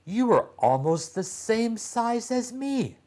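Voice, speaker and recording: A man's voice: very high voice, big pitch swings, slow pace, darkish tone, pitched above the performer's own voice, exaggerated voice. A man voicing a curious, puzzled young boy in a storybook, with a wondering, questioning manner. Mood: amazed.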